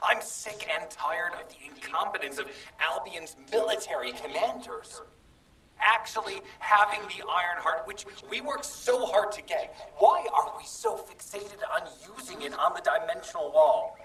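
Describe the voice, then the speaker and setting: A man's voice: high voice, fairly bright tone, full character voice. An actor playing a taunting, embittered young male traitor in a game cutscene, with a mocking, theatrical delivery. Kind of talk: dramatic game cutscene acting. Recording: echoey, distant-sounding call.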